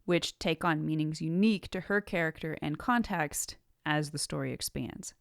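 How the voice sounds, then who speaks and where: Lower-pitched woman presenting a scripted writing lesson. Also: moderate pace, gravelly, no echo, clean studio sound. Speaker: podcast host and writing teacher. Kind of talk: scripted instructional narration.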